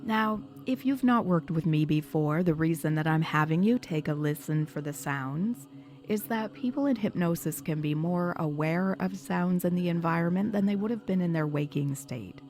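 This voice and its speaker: A woman hypnotherapist leading a guided meditation in a soothing, measured, instructional manner. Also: lowish voice, darkish tone. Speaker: hypnotherapist guiding a session. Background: background music.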